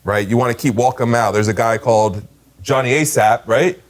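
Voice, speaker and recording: Male sales trainer, young adult, emphatic, informal and slangy, keeps saying "right?", ordinary mid pitch. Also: fairly quick. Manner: emphatic, animated, tags "right?" often. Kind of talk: casual training talk.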